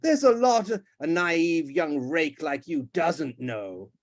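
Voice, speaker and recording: A man voicing a pompous, ranting old male duke who shouts and blusters. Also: on the high side, expressive, takes it slowly. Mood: blustering.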